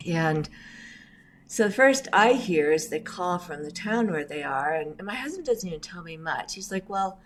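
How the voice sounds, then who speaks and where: Middle-aged woman with a low voice, grew up in indonesia, chatty storyteller in an interview. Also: normal speed, slight background noise. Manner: chatty, animated storyteller with asides. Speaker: lawyer and interview guest.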